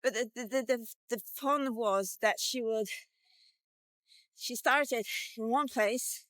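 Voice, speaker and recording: A woman in her fifties telling a personal tale informally, halting and thinking aloud with drawn-out pauses as she goes. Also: on the high side, fairly bright tone, no room sound. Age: 50s. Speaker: vlogger. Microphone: walkie-talkie vlog, recorded on the go.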